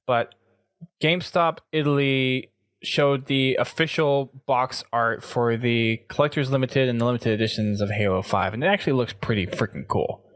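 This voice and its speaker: Male podcast host, mid-pitched voice, dry close recording, casual conversational delivery. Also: normal speed, fairly bright tone, no room sound. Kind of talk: casual gaming podcast chat.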